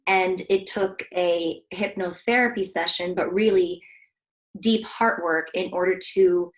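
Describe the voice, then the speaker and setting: Soft-spoken woman coach. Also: lowish voice, steady tone, a little slow, poor audio. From Switzerland. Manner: earnest, reflective, soft-spoken with frequent fillers. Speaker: host, heart coach. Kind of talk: casual interview conversation.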